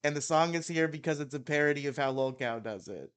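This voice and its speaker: Clear-voiced man hosting a casual stream. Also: fairly high pitch, fast. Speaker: live stream podcast host. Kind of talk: casual joking interview chat.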